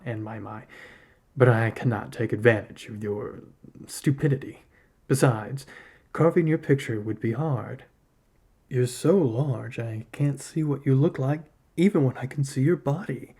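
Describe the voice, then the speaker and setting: Man reading as a husky, wily arctic hunter. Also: mid-range voice, a little slow, a little mellow. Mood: reluctant, reasoning. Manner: argues step by step, rhetorical questions. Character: wily male arctic hunter, reluctant, reasoning, bargaining.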